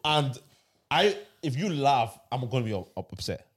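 Husky-voiced man, lively laughing talk. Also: medium pitch, normal speed. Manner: laughing, self-conscious storytelling. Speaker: podcast co-host. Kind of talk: casual podcast chat.

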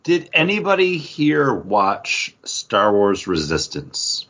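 A man with a fairly low, husky voice chats casually as a podcast co-host. He talks in halting, filler-strewn bursts, and the recording is slightly dull and lo-fi. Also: huge pitch swings, sharp tone. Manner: halting, conversational, filler-heavy with frequent 'like'. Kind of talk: casual geek-culture chat.

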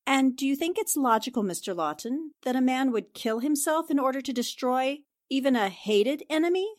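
An actress playing a shrewd newspaper reporter in a 1940s-style radio drama, questioning in a composed, pointed way. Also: female voice, sits high, expressive, moderate pace. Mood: skeptical. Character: shrewd, probing female newspaper reporter Anne Rogers.

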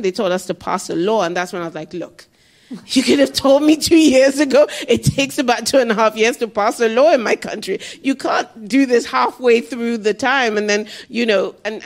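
A woman speaking on development finance and energy, answering questions with candid, animated asides. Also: fairly high pitch, normal speed. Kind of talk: answering audience questions at a talk.

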